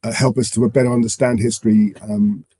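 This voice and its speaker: A man with a summer cold co-hosting a talk show, sounding congested and speaking haltingly with repeated words. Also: fairly low pitch, little pitch movement, dark tone. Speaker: podcast co-host. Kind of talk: casual discussion.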